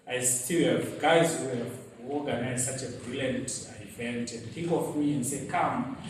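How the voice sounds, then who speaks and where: A man from Zimbabwe with a Zimbabwean accent, giving an animated, storytelling motivational talk at a luncheon and laughing at his own stories. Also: medium pitch, slight background noise. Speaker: guest speaker. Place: event venue hall. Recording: very echoey hall, mic set far off.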